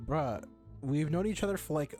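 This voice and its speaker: A man's voice: fairly high pitch, expressive, normal speed, warm tone, faint music behind. A young man giving casual, slangy let's-play commentary with a sarcastic, irreverent manner. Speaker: gaming streamer reacting to a game.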